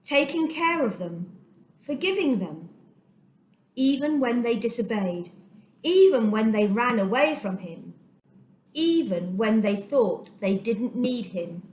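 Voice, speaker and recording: Woman reading a kids bible story, slow n expressive, highish voice, echoey room. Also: a little hiss or hum. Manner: dramatic, deliberate phrasing with emphasis. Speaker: children's storyteller. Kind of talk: expressive read-aloud of a children's Bible story.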